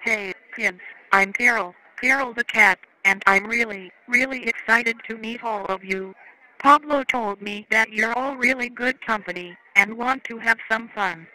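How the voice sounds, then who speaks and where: A man's voice: high voice, measured pace, sharp tone, heavily acted, rough recording. A man voicing a cheery animatronic cat who gushes to children like a kids' show host. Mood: cheery, eager. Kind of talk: children's show stage patter.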